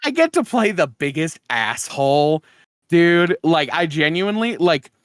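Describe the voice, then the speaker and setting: A man's voice: fairly high pitch, big pitch swings, measured pace, clean tone, dry recording, clean studio sound. A man co-hosting a podcast in casual chat, with blunt, slangy banter and plenty of swearing. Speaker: podcast co-host.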